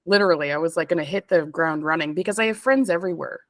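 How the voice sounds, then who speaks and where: A woman's voice: lowish voice, fast talker, no room sound. Chatty woman, dry close mic. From Canada. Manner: chatty, rambling, laughs often, lots of "like". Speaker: interview guest, stone fabricator. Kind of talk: casual chat.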